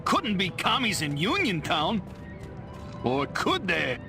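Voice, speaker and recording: An actor playing a nervous, gossipy working-class dock worker in a video game, colloquial and exaggerated. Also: male voice, sits high, wildly swooping pitch, takes it slowly, vocal fry, over music, full character voice. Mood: uneasy, grumbling. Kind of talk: video game character acting.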